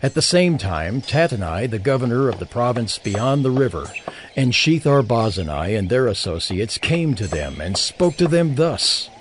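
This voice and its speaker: Expressive male scripture narrator. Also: mid-range voice, normal speed, slight background noise.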